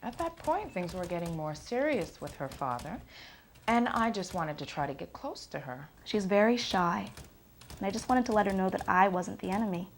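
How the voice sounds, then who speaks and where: A woman's voice: mid-range voice, lively intonation, normal speed, gravelly, slight background noise. A woman playing a candid, self-assured girlfriend, speaking frankly in a dramatised documentary interview. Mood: confiding.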